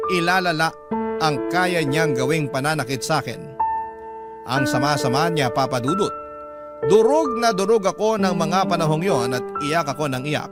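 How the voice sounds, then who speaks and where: A Filipino man narrates a scripted radio story with dramatic delivery. His voice sits fairly high, and prominent music plays behind him. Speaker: radio host reading listener's story.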